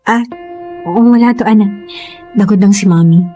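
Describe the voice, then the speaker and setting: A woman's voice: medium pitch, moderate pace, mellow, close to an everyday voice. A woman acting a weary young mother and girlfriend in a radio drama, hesitant and emotional. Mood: subdued, reassuring. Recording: music under the voice.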